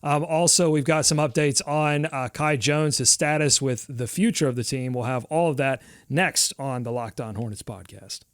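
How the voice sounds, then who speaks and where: A man's voice: medium pitch, moderate pace, hardly any pauses. A male sports podcast host talking in a loose, rambling way, playfully dramatic about his team's woes. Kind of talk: casual sports talk.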